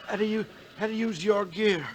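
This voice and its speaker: A man acting a dazed, greedy treasure hunter in a 1950s radio drama, groggy, halting and desperate. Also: sits high, natural voice. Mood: dazed confessing. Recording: noisy vintage 1950s radio broadcast.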